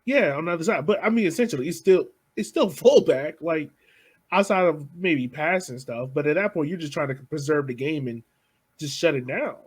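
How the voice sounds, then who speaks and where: A man's voice: fairly high pitch, animated, fairly quick, husky. A man co-hosting a podcast, chatting casually about soccer substitutions and often saying "like.". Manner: casual, uses "like" a lot. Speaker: podcast co-host.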